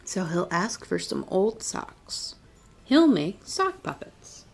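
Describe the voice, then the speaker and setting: A woman with a medium-pitched voice reads a children's picture book aloud. She speaks as a storytime librarian, with deliberate phrasing and expressive emphasis on key words. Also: gravelly. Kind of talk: read-aloud storytime narration.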